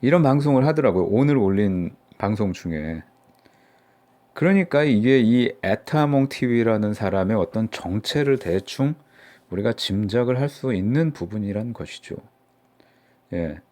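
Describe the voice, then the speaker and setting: A man's voice: fairly low pitch, expressive, moderate pace, warm tone. A middle-aged man from Seoul giving casual, mocking political commentary for his own channel, rambling with many fillers. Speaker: political YouTuber.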